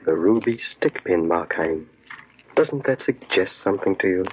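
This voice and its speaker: An actor in a 1940s style radio horror play, voicing a sinister inner voice that insistently and knowingly tempts toward crime. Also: male voice, deep voice, animated, slightly rough. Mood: insinuating, urging. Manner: insistent, insinuating, repeats phrases slowly and knowingly. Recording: dull, lo-fi old radio recording.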